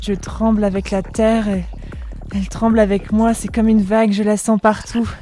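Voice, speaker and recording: A woman's voice: mid-range voice, little pitch movement, moderate pace, warm tone, faint music behind, close to an everyday voice. A woman acting an entranced, sensual being who communes with the earth, in a poetic French audio drama.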